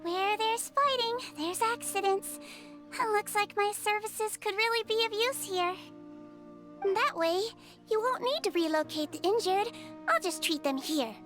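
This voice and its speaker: A woman voicing a small, cheerful, caring melusine nurse girl in a video game, with a sweet, polite and gently quirky manner. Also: extremely high pitch, unhurried, soft background music. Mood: cheerful, curious. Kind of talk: animated video game character voice acting.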